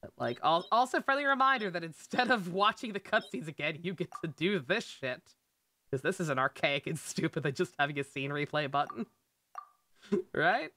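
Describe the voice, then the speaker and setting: Bright-voiced, rambling game streamer. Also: medium pitch, animated, normal speed, slight background noise. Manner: rambling, profane, exclamatory. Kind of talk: casual live gameplay commentary.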